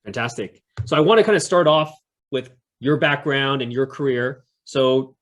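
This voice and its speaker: A man's voice: medium pitch, moderate pace. A man hosting a community interview, talking with many fillers in a friendly, curious way. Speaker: interview host. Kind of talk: community event introduction and interview.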